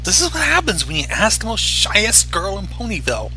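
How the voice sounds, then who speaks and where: A man reacts casually with a very bright, forceful voice. It is high and lively, blunt and sweary, with music behind. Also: brisk, rough recording. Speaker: commentator reacting to the story.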